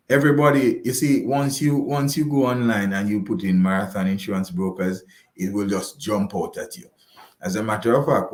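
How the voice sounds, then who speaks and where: A man with a Jamaican accent giving explanatory interview answers as a financial advisor, halting and repeating phrases for emphasis. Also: on the low side. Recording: roomy, echoing voice pickup.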